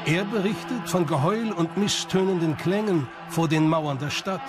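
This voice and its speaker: A man's voice: on the high side, normal speed. A man reading scripted documentary narration. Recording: music bed under the voice. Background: background music.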